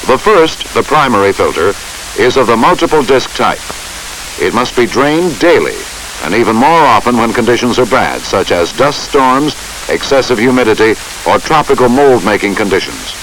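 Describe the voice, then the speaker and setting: Male narrator for an instructional film: formal and measured, lively intonation, slightly hissy vintage soundtrack. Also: mid-range voice, poor audio.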